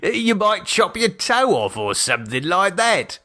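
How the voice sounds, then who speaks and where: Man acting a fond father, playful, slow and deliberate, lively swooping pitch, audiobook storytelling. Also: heavily acted. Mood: affectionate. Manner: hesitant, slow, affectionate explaining. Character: fond playful English father, gleefully wicked about swords.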